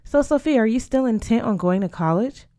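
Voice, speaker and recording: A woman reading the part of a lively, excitable teenage girl in a storybook, exclamatory and dramatic. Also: on the high side, a little fast, no echo, studio quality, voice pushed up, close to an everyday voice. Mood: questioning.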